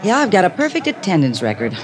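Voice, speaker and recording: A woman's voice: medium pitch, huge pitch swings, quick pace, a little hiss or hum. An actress playing a sardonic young party girl with a bored, dry manner in a 1950s radio drama. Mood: jaded, wistful. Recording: 1950s radio broadcast with music.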